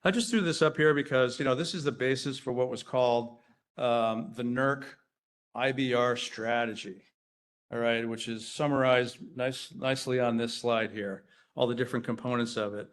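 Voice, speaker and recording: A man's voice: mid-range voice, fairly even intonation, normal speed. Chatty man presenting to a conference audience. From Rhode Island, USA. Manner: chatty and self-deprecating, quizzes the audience, frequent fillers. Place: conference hall.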